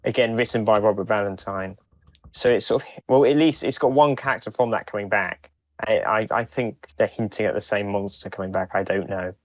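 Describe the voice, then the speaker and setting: A man co-hosting a podcast, talking casually in a hesitant, halting way with repeated starts. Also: fairly low pitch, dry recording. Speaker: podcast co-host. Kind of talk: casual chat.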